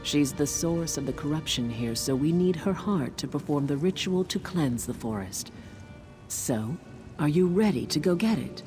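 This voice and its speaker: Teasing wild witch woman for a game, kinda low voice, music behind her. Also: animated, normal speed, mellow. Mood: determined. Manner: teasing, casual, wry. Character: wry, earthy forest witch woman of a wild sisterhood. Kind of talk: video game character dialogue.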